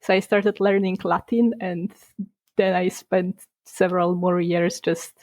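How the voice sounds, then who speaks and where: A young woman from Poland, a game designer, talking casually in a podcast interview, chatty and quick to laugh. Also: lowish voice, little pitch movement, a little mellow, no echo. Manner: chatty, laughs between points, restarts words.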